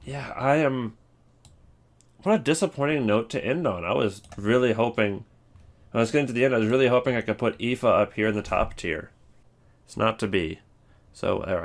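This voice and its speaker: A man giving casual, rambling commentary, thinking aloud as he goes. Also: mid-range voice. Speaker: video creator ranking game voice lines.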